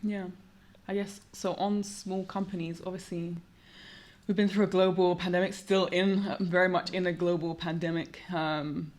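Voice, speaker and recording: A woman's voice: lowish voice, little pitch movement, normal speed, a little mellow. A young woman hosting a podcast interview, upbeat and encouraging as she asks questions. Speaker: podcast host. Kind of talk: casual interview.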